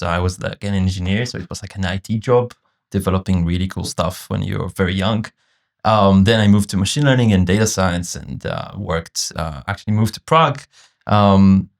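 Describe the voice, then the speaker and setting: A man with an Israeli accent introducing himself casually as a guest on a podcast. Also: deep voice. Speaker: podcast guest, startup founder.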